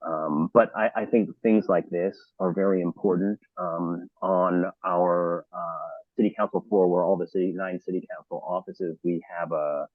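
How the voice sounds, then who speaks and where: A deep-voiced man talks on a panel in a halting way, full of ums and repeated words. His voice comes through dry and narrow, like a phone call. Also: fairly even intonation, no room sound. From Los Angeles area, United States. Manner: halting, many fillers and restarts. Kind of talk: panel discussion. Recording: thin phone-like audio.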